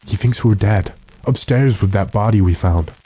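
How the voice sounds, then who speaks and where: Deep, mellow gentleman investigator played by a man. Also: husky, no room sound. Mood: hushed watchful. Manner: measured, deliberate, matter-of-fact. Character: calm, authoritative gentleman investigator addressed as sir. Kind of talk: classic radio drama acting.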